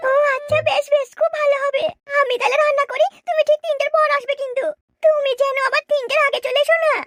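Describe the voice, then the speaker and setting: A woman's voice: very high-pitched, steady tone, fast talker, music in the background. A woman voicing an affectionate, bossy married village woman in a cartoon comedy, sweet-talking and insistent.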